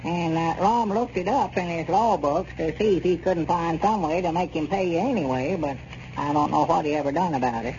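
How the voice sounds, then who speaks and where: Casting: male actor as rustic, plainspoken Southern old fellow, high voice, vintage radio comedy with light hiss. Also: fairly quick, a little mellow, natural voice. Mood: concerned. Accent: rural Southern US. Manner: rustic, homespun phrasing. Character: plainspoken, folksy old country storekeeper. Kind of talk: 1930s radio comedy acting. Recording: muffled, noisy 1930s radio recording.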